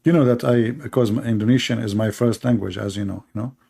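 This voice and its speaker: A man's voice: on the low side, steady tone, quick pace, vocal fry, mellow, slightly rough. A man, hosting a commentary show and mocking video clips in a sarcastic, rambling way with many fillers.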